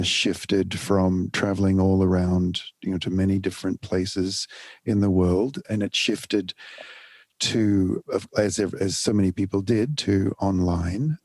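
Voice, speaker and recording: A middle-aged man from the United States, a family counselor, speaking thoughtfully in a podcast interview with many pauses and restarts. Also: low voice, darkish tone, no room sound.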